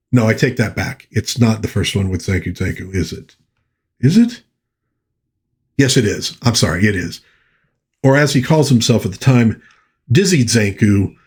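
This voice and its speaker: A man's voice: medium pitch, a little mellow, husky. A man hosting a casual review of old pulp fiction, talking conversationally to viewers as an enthusiastic fan and often correcting himself as he rambles. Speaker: host of a pop culture channel reviewing old pulp fiction. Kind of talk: casual rambling book review to viewers, with short read-aloud passages.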